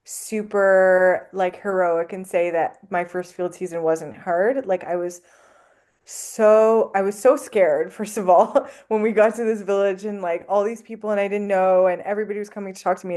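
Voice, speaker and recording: A woman's voice: medium pitch, fairly even intonation, normal speed, a little mellow. A woman whose first language is French, a guest on a podcast, talking casually with many fillers. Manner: chatty, lots of likes and ums. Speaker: anthropologist guest.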